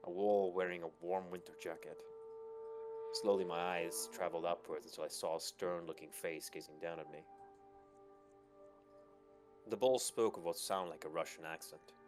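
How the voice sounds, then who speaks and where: Male narrator, very low pitch, first-person storytelling, visual novel read-aloud, soft music behind. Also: steady tone, normal speed, long pauses. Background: background music.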